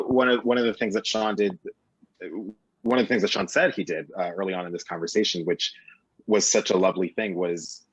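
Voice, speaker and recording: A man with a low voice talks casually and reflectively, as an actor on a panel would. He phrases things thoughtfully, and the sound is dry and a little lo-fi. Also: no echo. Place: remote video call. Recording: dull, lo-fi video call.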